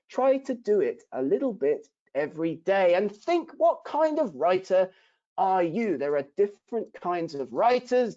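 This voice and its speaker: A man's voice: high-pitched, warm tone. A man teaching academic writing in a webinar, speaking emphatically and asking the audience rhetorical questions. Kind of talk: webinar lesson. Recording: dull lo-fi webinar audio.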